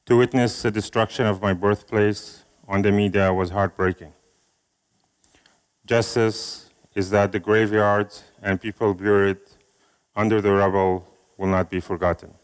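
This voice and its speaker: A man from Syria whose first language is Arabic, giving a slow, measured reading of a speech and a poem at an event. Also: fairly low pitch, flat delivery, clear, resonant tone. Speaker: speaker at an event.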